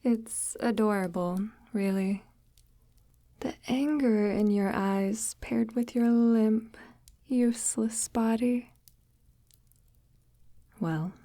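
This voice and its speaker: A woman playing a calmly menacing forest witch in an ASMR roleplay, speaking softly and half-whispered in a formal, measured, gently patronizing way, close to the mic. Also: fairly high pitch, dry recording. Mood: mocking, condescending. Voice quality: soft, breathy, half-whispered. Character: sinister forest witch, calmly menacing, devout, condescendingly tender. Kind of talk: ASMR audio roleplay, slow intimate monologue.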